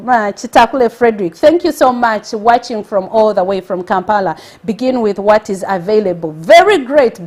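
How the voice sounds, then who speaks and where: A woman from Uganda with a Ugandan accent, hosting a motivational live stream and speaking with energy, exclaiming and repeating her points for emphasis. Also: fairly high pitch.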